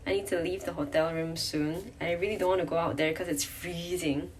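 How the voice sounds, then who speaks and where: A woman's voice: on the low side, steady tone, normal speed, slight background noise. A young woman with a Malaysian accent, chatting casually to camera in a vlog and often correcting and teasing herself. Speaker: vlogger. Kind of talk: casual sit-down vlog chat. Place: hotel room.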